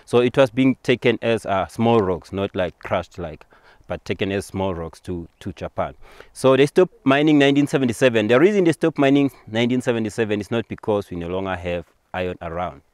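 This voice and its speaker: A man's voice: on the low side, expressive, brisk, a little mellow, no room sound. A man from Eswatini, a native Siswati speaker with a Swazi accent, explains things as a local tour guide, repeating and rephrasing simply.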